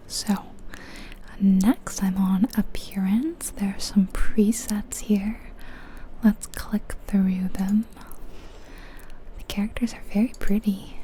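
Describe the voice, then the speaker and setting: A woman whispering breathily and gently close to the mic in an unhurried, chatty ASMR game commentary. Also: medium pitch, slight background noise. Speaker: ASMR video game streamer.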